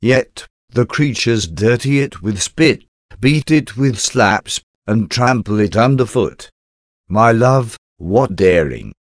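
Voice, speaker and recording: A man's voice: lowish voice, dry recording, studio quality. A man reading a devotional prayer aloud in an earnest, pleading, reverent way. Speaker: devotional reader.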